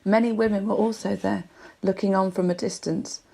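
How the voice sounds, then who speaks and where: A woman giving a solemn, measured reading of Bible narrative as a scripture reader. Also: medium pitch, fairly even intonation, gravelly, mellow.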